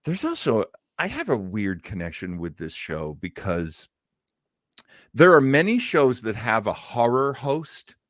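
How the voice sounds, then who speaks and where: A man's voice: mid-range voice, huge pitch swings, unhurried, a bit bright, no echo, clean studio sound. A man hosting an old-time radio show with enthusiastic, showman-like delivery and casual chat.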